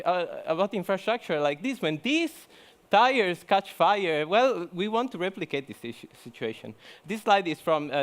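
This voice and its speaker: A young man from Italy gives a joking, chatty conference talk, full of fillers and restarts. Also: fairly high pitch. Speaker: conference speaker. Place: conference hall.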